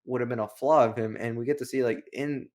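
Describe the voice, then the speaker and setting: A man's voice: medium pitch, fairly even intonation, fast talker, a little mellow. Man chatting in rambling, slangy style. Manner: rambling, heavy use of "like". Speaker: book review show co-host. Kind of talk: casual, slangy chat, retelling and commenting.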